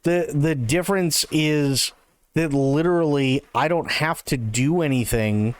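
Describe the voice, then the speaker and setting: A man with a slightly higher voice rants and scoffs at a showcase stream, cursing freely and picking things apart. His voice comes across smooth and clear. The mic is close and dry, with no room sound. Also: measured pace, no room sound. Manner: sarcastic ranting, mocking voices of others. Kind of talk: profane live-stream commentary.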